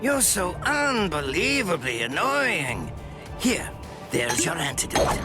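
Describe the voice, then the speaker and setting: A man's voice: high voice, sing-song, slow pace, loud music behind the voice, exaggerated voice. An actor voicing a smug, sadistic mad scientist in an anime game, condescending and theatrical in his drawl. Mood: irritated. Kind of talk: anime video game dub acting.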